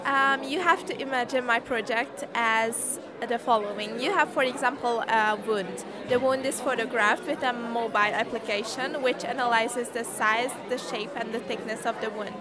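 A woman's voice: fairly high pitch, moderate pace, hardly any pauses. Teen girl amid crowd chatter. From Hungary. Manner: hesitant, many fillers and restarts. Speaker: student presenting a science project. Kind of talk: casual interview. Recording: crowd noise behind.

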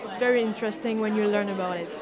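A woman's voice: medium pitch, fairly even intonation, quick pace. Woman interviewer in a noisy crowd. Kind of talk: casual interview. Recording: crowd noise behind. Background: crowd chatter.

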